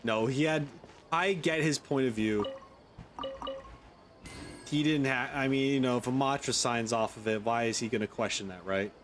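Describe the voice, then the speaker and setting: A man's voice: mid-range voice, moderate pace, long pauses, a little hiss or hum. A man streaming a game with casual let's-play commentary, rambling as he thinks aloud. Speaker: gaming streamer.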